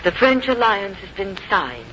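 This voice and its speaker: A woman's voice: medium pitch, big pitch swings, normal speed, close to an everyday voice. Woman acting a tender, refined lady, muffled radio. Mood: earnest, confessing. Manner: earnest, refined, formal. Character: tender, loyal woman in love, torn go-between. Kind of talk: 1950s radio drama acting. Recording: muffled, noisy old radio broadcast.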